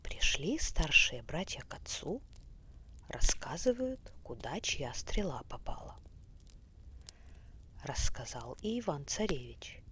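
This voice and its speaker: A woman narrating a bedtime fairy tale in a soft, often whispery, unhurried voice. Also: low-pitched, big pitch swings. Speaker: storyteller. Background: faint fireplace crackle.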